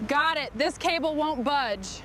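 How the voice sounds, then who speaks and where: A woman with a fairly high voice talks her way through restoring heavy machinery. She speaks in short phrases, part muttering to herself and part instructing. Some machine noise and clicking sits behind her. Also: animated, measured pace. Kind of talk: short work talk during a restoration.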